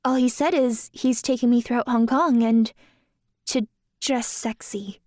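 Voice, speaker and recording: A woman's voice: fairly high pitch, steady tone, a little slow, a little mellow, no room sound, studio quality, lightly acted. An actress playing a polite, coy young woman dancer with magical powers in an audio drama. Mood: matter-of-fact.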